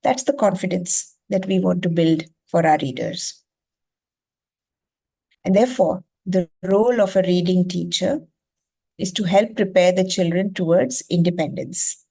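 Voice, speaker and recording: A woman's voice: on the low side, a little slow, creaky, warm tone, slightly rough. Indian woman teaching, with emphatic delivery. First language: Gujarati. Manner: emphatic, repeats phrases, checks in with rhetorical questions. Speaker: educator leading a masterclass on reading. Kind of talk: explanatory teaching talk.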